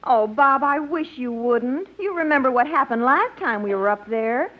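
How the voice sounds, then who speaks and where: A woman playing a concerned, gently scolding wife and mother in a 1950s radio comedy. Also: high-pitched, expressive, normal speed. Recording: muffled 1950s radio recording.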